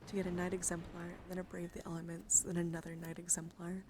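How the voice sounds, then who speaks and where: A young woman livestreaming casual ASMR card chat, with a breathy voice that often slips into whispers and nerdy, coaxing, rambling asides. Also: fairly low pitch, completely flat delivery, fast. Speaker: livestreamer showing her card deck. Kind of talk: casual ASMR hand-cam chat with viewers. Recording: noticeable background noise.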